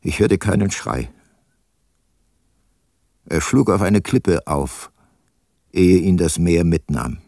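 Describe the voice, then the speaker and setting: An actor playing a brooding, resolute older detective in a radio drama, speaking gravely and deliberately. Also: male voice, low-pitched, expressive, a little mellow, no echo, clean studio sound, full character voice. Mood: sombre, reflective. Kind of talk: radio drama dialogue and first-person narration.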